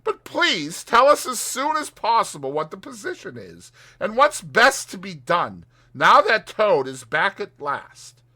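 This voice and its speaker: A man's voice: high-pitched, sing-song, a little slow, few pauses, sharp tone, pitched above the performer's own voice, exaggerated voice. A man voicing a sensible, fretful water rat in a storybook, earnest and advising. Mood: exasperated.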